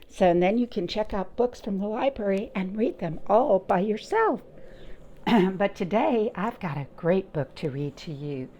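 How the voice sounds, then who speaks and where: A woman's voice: mid-range voice, expressive, moderate pace, hardly any pauses, dark tone, dull audio, a little hiss or hum. A woman leading a library storytime, chatting encouragingly to young children and asking them simple questions as she reads aloud.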